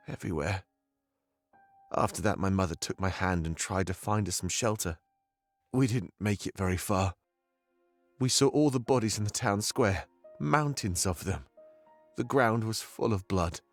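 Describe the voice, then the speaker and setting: A man's voice: on the low side, dry recording. An actor playing a grieving, self-reproaching male warrior in a visual novel, sombre and confiding. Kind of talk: dramatic visual novel acting. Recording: background music under the voice.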